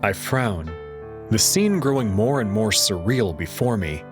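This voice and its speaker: A man's voice: lowish voice, expressive, measured pace. A man reading a visual novel as dramatic first-person scripted narration. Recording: dry close voice over faint music.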